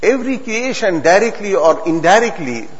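A man's voice: sits high, lively intonation. Bangladeshi man preaching in a reverberant hall. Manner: earnest, halting, emphatic, repeating phrases in non-native English. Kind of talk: religious sermon to a congregation. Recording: dull recording in a long-reverb hall.

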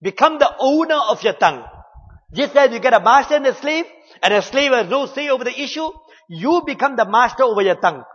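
Earnest preacher, high voice. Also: male voice, expressive, fairly bright tone, slightly rough, big-room sound, dull audio. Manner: earnest, measured delivery with Arabic and Urdu religious terms. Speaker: Islamic preacher. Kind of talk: religious talk.

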